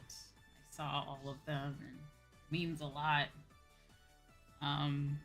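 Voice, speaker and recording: Young woman, low pitch, casual livestream chat, rambling and self-deprecating, soft music underneath. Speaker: VTuber streamer. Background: faint background music.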